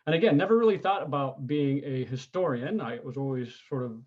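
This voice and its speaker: A California man in his forties, speaking, answers interview questions in a relaxed, reflective way. His voice sits in a middle register and comes through slightly dull and lo-fi. He often pauses and restarts his sentences. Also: medium pitch. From United States, California. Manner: thoughtful, unhurried, frequent ums and restarts. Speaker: interview guest, historian. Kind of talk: conversational interview.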